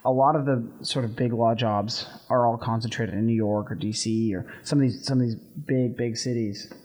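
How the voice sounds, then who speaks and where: A man's voice: medium pitch, moderate pace. Young man, easygoing Texan drawl. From Texas. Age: young adult. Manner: relaxed, rambling with many "like" fillers. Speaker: college radio co-host. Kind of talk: casual chat.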